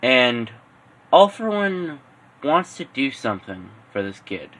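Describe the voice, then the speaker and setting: A male storyteller improvising fan fiction. He takes it slowly and haltingly, rephrasing as he goes, with a bright, forceful voice at an average pitch. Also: sing-song, low-quality recording.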